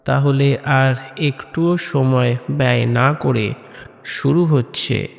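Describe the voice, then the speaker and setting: Slow-talking man in long reverb. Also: mid-range voice, gravelly. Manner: measured, pausing between short phrases. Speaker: host and narrator of an audio story channel. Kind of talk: scripted horror story narration and channel introduction.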